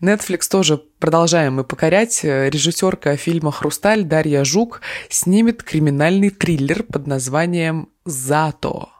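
A woman from Krasnoyarsk co-hosting a morning news podcast, reading the news items in an even, matter-of-fact way. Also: low-pitched, measured pace, few pauses, no echo, studio quality. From Krasnoyarsk, Russia.

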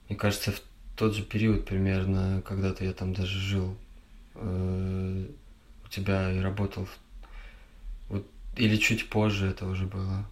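A man's voice: on the low side, a little mellow. A man hosting a podcast in casual, supportive conversation, tentatively feeling for his words. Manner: tentative, hedging phrasing. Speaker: podcast host.

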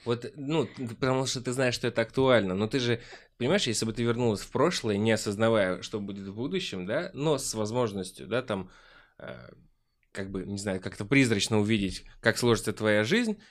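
A man chatting casually and at length, reasoning his way through his points in a halting, argumentative manner. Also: on the low side. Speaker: podcast guest. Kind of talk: casual chat.

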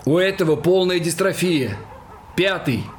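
A man playing a brisk young military doctor, a senior lieutenant with clipped commands, in a radio play. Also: high-pitched, expressive, slight background noise. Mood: matter-of-fact. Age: young adult.

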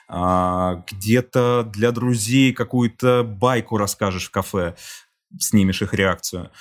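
A man's voice: mid-range voice, breathy edge. A man who is a guest on an interview podcast, telling a story at length and acting out the people he quotes. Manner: explains at length, acts out quoted voices, small laughs. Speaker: podcast guest, creative director.

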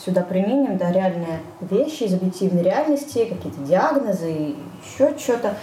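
Mellow woman chatting in an echoey room. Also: mid-range voice, animated, normal speed. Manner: joking, informal, hesitant phrasing. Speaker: podcast co-host. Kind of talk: casual chat. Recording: roomy echo, faint background noise.

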